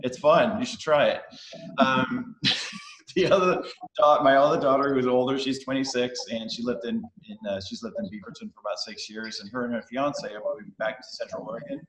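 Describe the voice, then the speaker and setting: A man's voice: mid-range voice, background noise. Danish-born middle-aged man on a video call. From Denmark. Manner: casual, halting, many fillers and restarts. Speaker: guest speaker. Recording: roomy echo on a video call.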